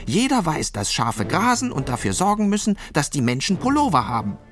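A man's voice: a little high, wildly swooping pitch, fairly quick, voice pushed up. A man voicing an exasperated, nagging mother sheep in a children's audio story. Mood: scolding.

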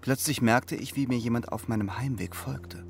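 A man's voice: mid-range voice, moderate pace, creaky, a little hiss or hum, close to an everyday voice. An actor playing an uneasy Cold War double agent who tells his own story in a crime radio play. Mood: brooding, tense. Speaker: actor, narrator and lead role. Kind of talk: first-person crime radio play narration.

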